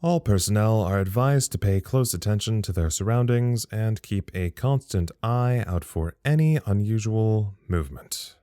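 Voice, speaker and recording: Actor as male research site log-keeper, low voice, dry close sound, thoughtful, uneasy, measured, audio drama. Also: expressive, dark tone, no echo, natural voice. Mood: official, measured. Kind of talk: audio drama monologue as a recorded incident log.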